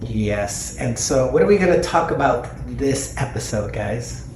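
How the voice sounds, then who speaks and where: A man's voice: mid-range voice, expressive, unhurried, a little mellow. Male podcast host, 40s, playful and teasing, asks lots of questions; echoey room tone, slight hiss. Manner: jokey, teasing, asks his co-hosts questions. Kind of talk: casual podcast chat. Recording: echoey room, faint noise.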